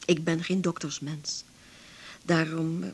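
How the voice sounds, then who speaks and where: An older Dutch widow with a low voice for a woman. She is lonely and tense, and confides haltingly in the natural style of an eighties radio play. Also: level tone, husky, heavily acted. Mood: embarrassed. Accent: Netherlands Dutch. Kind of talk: naturalistic 1980s radio drama acting.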